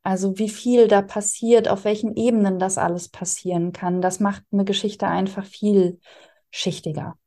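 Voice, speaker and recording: A woman's voice: mid-range voice, little pitch movement, normal speed, dark tone. A woman, speaking in an interview as an author explaining her writing craft. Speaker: interviewed radio drama author.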